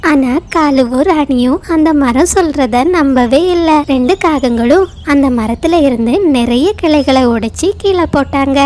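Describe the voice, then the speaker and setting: A woman's voice: high-pitched, a little mellow, a little hiss or hum. A woman reading scripted narration for a children's story as the storyteller.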